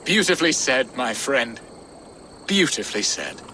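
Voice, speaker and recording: A man's voice: mid-range voice, sing-song, a little slow, pushed and bright, slight background noise. A man playing a theatrical, lovestruck Saxon lord, florid and playful, in a video game. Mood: jovial, affectionate. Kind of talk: video game cutscene acting.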